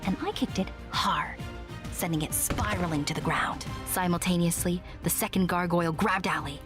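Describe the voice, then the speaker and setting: A woman's voice: on the low side, normal speed, close to an everyday voice. A woman playing a small, proud winged secret agent who narrates an audio drama expressively and earnestly. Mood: urgent. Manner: expressive, self-important storytelling. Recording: music behind the voice.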